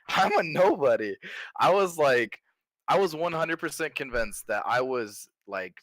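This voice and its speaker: A man with a deep voice that still has a bright, forceful edge, talking as a guest in a casual interview. He speaks loosely and leans on "like" constantly. The sound is dry and close, with no room around it. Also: no room sound. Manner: laid-back, loose, leans on "like" a lot. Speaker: streamer being interviewed.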